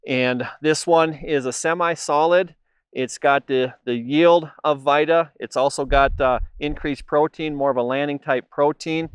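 A man's voice: on the high side, steady tone, measured pace, clean tone, no room sound. A middle-aged man who manages a seed program gives a plain-spoken walking tour of a cleaning plant and wheat fields, with many "uh" fillers. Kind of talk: informal walk-through tour.

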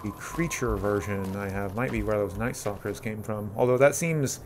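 A man's voice: fairly low pitch, normal speed, background noise. A man giving casual, rambling gameplay commentary with a wry manner and some mild swearing. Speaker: gaming video host playing Fallout. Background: video game music.

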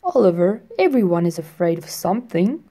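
A woman voicing a gentle, wise firefly. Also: on the low side, very animated, fairly quick. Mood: reassuring. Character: friendly wise male firefly, calm and kind.

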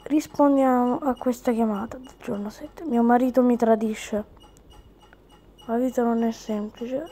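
A woman with a fairly high voice gives casual game commentary. She is chatty, slipping between her own remarks and reading the game's text out loud. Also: fairly even intonation, a little slow, a little hiss or hum. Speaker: gaming video host.

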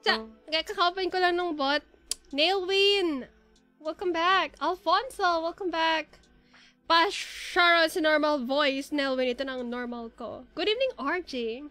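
A woman's voice: extremely high pitch, measured pace, bright, forceful tone, faint music behind. A young woman with a Filipino accent chatting casually on a livestream from her bedroom, greeting viewers and mixing English with Tagalog. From Philippines. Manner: chatty, playing with a voice changer, switching English and Tagalog. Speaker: streamer. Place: small bedroom. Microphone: studio mic on stand with earphones.

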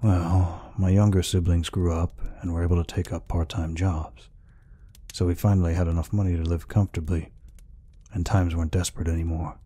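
A man voicing a gentle, peaceful orc healer in an ASMR roleplay, speaking softly and reassuringly without hurry. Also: level tone, no room sound. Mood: reflective, calm. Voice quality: deep, husky, breathy. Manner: soft, unhurried, reassuring. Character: gentle, peaceful male orc healer, soft-hearted caretaker.

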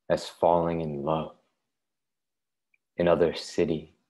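A man's voice: deep voice, a little mellow. A man in his thirties reading and introducing poems from home on an earbud mic over a video call. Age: 30s. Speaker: poet at a reading. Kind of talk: poetry reading with casual introductions. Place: home living room, indoors. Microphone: wired earbuds on video call.

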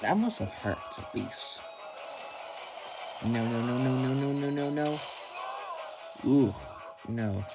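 A man's voice: medium pitch, slow pace, long pauses, over music, rough recording. A man casually reading game dialogue aloud and commenting as he plays. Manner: reads on-screen text in a plain voice. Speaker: let's play gamer.

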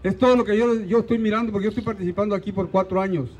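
A man's voice: sits high, steady tone, very fast, faint music behind. An older man from Mexico with a Mexican accent, giving an earnest, rousing rally speech outdoors into a handheld mic. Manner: earnest, rousing, asks pointed questions. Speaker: rally speaker. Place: outdoors in a park.

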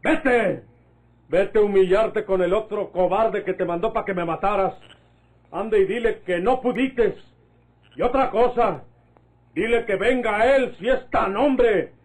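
A vengeful northern Mexican bandit in a vintage radio play. His voice sits a little high for a man, and he speaks with menace and command, every line a taunt or an order. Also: unhurried, poor audio. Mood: scornful, commanding. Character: proud, vengeful male bandit of rural Mexico. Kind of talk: old Mexican radio drama acting.

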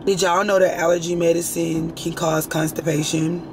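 Raspy, chatty young woman vlogger. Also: on the low side, flat delivery, normal speed, background noise. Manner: chatty, rambling, frank, swears freely. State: hoarse, itchy throat. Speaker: lifestyle vlogger. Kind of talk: casual chat to camera.

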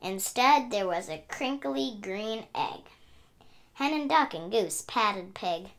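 A woman's voice: medium pitch, animated, slow. A woman hosting a children's read-aloud, narrating expressively in a sing-song, emphatic way. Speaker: story reader and channel host.

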